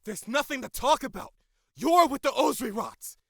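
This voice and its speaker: A man playing an angry, defiant leader of a rebel group in an audio drama, combative and forceful. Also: extremely high pitch, expressive, moderate pace, creaky, no room sound, clean studio sound, full character voice. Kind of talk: audio drama character acting.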